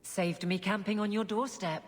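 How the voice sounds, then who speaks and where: An actress voicing a blunt, stern female guard captain in a fantasy video game, speaking in a gruff, matter-of-fact way. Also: medium pitch, steady tone, normal speed, big-room sound, lightly acted. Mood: loyal. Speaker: city guard officer.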